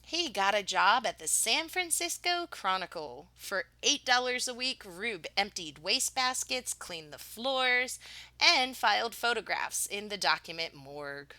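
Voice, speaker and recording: A woman reading a picture book aloud like a teacher, expressively, with dramatic pauses and emphasis on key words. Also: mid-range voice, big pitch swings, sharp tone, no echo.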